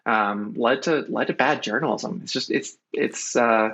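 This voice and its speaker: Man, American accent, sharp bright tone, mid pitch, earnest, deliberate, political commentary, dull recording. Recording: dull, lo-fi audio.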